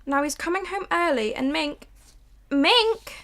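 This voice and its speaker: Radio-play housewife, nervous and hesitant, high with a gravelly edge, acted by a woman. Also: lively intonation, a little slow, fairly bright tone, heavily acted. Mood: anxious. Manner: hesitant, stammering, falsely cheerful. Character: uneasy housewife and mother, nervously evasive.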